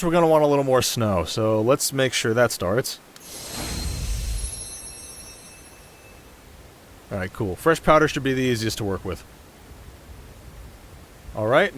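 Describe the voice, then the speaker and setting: A man's voice: mid-range voice, fairly bright tone, a little hiss or hum. A man playing a cocky, playful winter spirit in an ASMR roleplay, speaking straight to the listener in flippant, sarcastic, casual banter. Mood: showing off.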